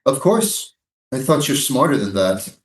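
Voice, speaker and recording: A man's voice: medium pitch, very animated, a little slow. A man playing a cynical, coolly dismissive soldier with a blunt manner in a staged reading of a drama. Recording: distant, echoey live stage reading.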